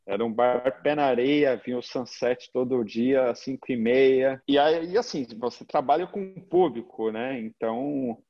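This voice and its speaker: A man's voice: medium pitch, normal speed, few pauses. A man in his thirties with a São Paulo Brazilian accent, telling stories informally as an interview guest, with slangy phrasing and frequent tag questions. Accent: São Paulo Brazilian Portuguese. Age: 30s. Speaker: interview guest, agency founder. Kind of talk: casual anecdotal chat. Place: indoor room at home, video call. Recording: slightly dull video-call audio.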